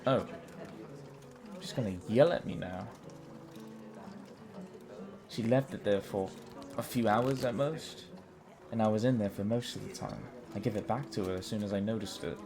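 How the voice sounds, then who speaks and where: Man acting laid-back, low-voiced young joker. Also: normal speed, long pauses, over music, natural voice. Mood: candid, nudging. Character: easygoing, teasing young man, casual drinking companion. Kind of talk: audio drama acting, casual banter.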